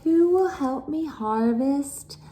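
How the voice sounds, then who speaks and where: A woman's voice: high-pitched, expressive, slow, warm tone, pitched above the performer's own voice. A woman voicing a small, hardworking, earnest hippo in a children's storybook. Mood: hopeful. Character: small, hardworking, willing female hippo Tiny Tilda.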